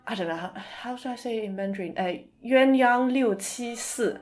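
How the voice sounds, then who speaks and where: A woman's voice: a little high, normal speed. A woman speaking as a podcast guest in casual, somewhat hesitant conversation, often rephrasing as she goes. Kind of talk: casual chat.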